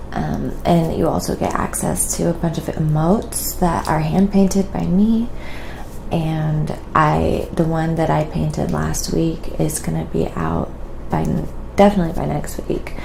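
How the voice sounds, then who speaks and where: A woman hosting an ASMR painting live stream, chatting to her viewers in a hushed, gentle manner. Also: on the low side, gravelly, noisy background.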